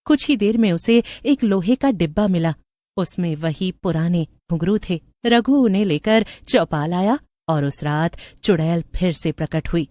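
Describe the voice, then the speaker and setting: A woman's voice: lowish voice, animated, a little slow, dark tone, no echo. A woman narrator reading a scripted story.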